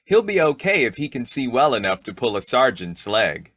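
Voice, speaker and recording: A man playing a blustering, excitable, foolish but affectionate husband in a folksy old-time radio comedy. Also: medium pitch, normal speed, no room sound. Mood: amused curiosity. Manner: folksy exclamations, swooping emphasis.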